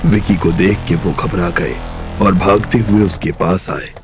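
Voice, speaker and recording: A man narrating a crime drama audiobook in a measured, steady storytelling style. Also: low voice, over music.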